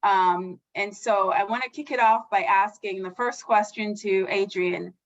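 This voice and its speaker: A woman's voice: medium pitch, steady tone, a little slow. A woman hosting a virtual panel as facilitator, talking in a friendly, chatty way with many fillers. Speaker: facilitator, board member. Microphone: video call. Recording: slightly dull video call audio.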